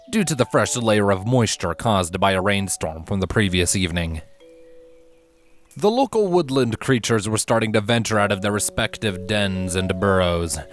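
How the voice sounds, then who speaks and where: A man reading scripted fantasy fan fiction aloud as a narrator, in measured, expressive storytelling. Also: fairly low pitch. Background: background music.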